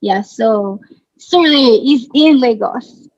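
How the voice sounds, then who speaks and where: A Nigerian woman in her thirties, a singer chatting casually in an interview, relaxed and quick to laugh, her sentences trailing off softly. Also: fairly high pitch, no echo, muffled recording.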